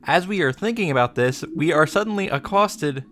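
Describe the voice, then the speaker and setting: A man's voice: a little high, animated, moderate pace, fairly bright tone, a little hiss or hum. A man hosting a casual game recap, joking along with wry, playful asides. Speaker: visual novel reaction and summary host. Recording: music under the commentary. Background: game music.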